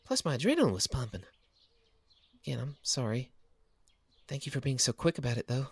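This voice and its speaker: A man voicing a gentle, hesitant young woman who muses and trails off softly, as a storybook reader. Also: mid-range voice, very animated, brisk, creaky, husky, no echo, voice pushed up, full character voice. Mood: apologetic. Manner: soft, hesitant, musing.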